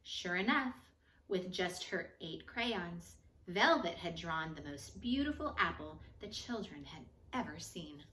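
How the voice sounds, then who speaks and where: A woman reading a children's picture book aloud in an expressive, measured storytelling manner, with phrases that trail off softer. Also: lowish voice, a little hiss or hum. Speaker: storybook reader. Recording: echoey room.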